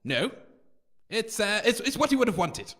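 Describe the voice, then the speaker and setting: A theatrical male grumbler played by an actor in an audio comedy, with a very high, piercing voice. He is a wine-loving adventurer who grouses with melodrama and lively swoops. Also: normal speed, exaggerated voice. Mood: gleeful. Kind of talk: scripted audio comedy acting.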